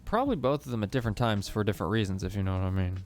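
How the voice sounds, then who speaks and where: Male streamer, mid pitch, reads player entries and cracks jokes, faint music behind. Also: expressive, rapid-fire. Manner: reads prompts aloud, jokey asides. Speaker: streamer host. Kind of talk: casual game stream commentary.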